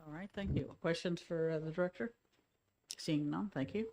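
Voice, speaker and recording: A woman chairing a legislative committee hearing, giving brief, unhurried procedural prompts. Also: low voice, a little mellow.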